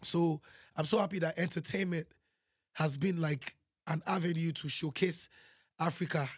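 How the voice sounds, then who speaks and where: A man from Nigeria, a guest musician, telling animated stories in a casual interview and often saying "you know what I'm saying". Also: fairly high pitch, moderate pace, breathy edge, dry recording, studio quality.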